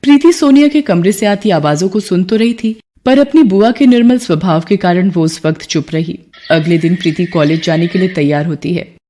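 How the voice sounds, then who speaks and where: A woman's voice: lowish voice, moderate pace, few pauses, mellow. A woman storyteller reading scripted narration for a moral tale.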